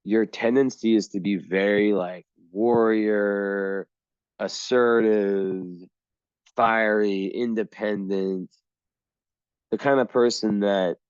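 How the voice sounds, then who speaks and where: A hoarse man reading an astrology chart on his own, his voice low and unhurried. He rambles and restarts, clearing his throat often. The sound is close and dry, with a dull, lo-fi edge. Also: fairly even intonation, dry recording.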